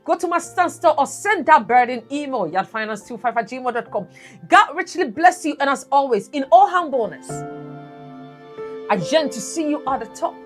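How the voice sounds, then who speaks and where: A woman's voice: high-pitched, lively intonation, moderate pace, soft background music. A woman hosting a personal finance channel, talking earnestly to her viewers and asking them what they would do. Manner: earnest, preachy, asks the viewer questions. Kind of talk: solo talk to camera.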